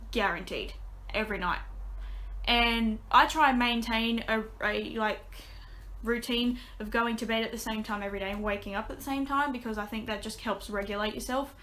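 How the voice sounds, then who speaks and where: A young woman speaks into a desk webcam in a small bedroom, rambling through a casual update. Her voice sits a little high and sounds bright and forward, with a touch of rasp. She trails into ums and restarts her sentences often. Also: normal speed. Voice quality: slightly raspy. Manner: chatty, many ums and restarts. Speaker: vlogger.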